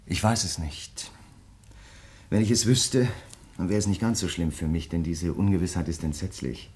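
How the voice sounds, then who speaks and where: A very low male voice from an actor carries a grieving crime writer in a radio drama. He speaks in measured, reflective phrases, hesitating as he searches for words, and stays courteous while he probes. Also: darkish tone, natural voice. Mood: troubled, brooding. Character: grieving, probing male crime writer, polite but insistent. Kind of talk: German radio play dialogue.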